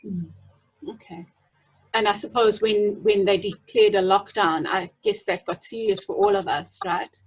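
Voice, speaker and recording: A woman's voice: mid-range voice, steady tone, unhurried, slightly rough, rough recording. A middle-aged woman interviews casually as host over a video call from home. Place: home room indoors.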